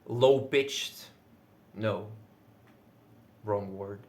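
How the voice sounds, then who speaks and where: A man whose first language is Russian, speaking English with a Russian accent in casual solo talk, now and then pausing to search for words and swearing lightly. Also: on the low side, a little hiss or hum. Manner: says he is loud most of the time. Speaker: video creator.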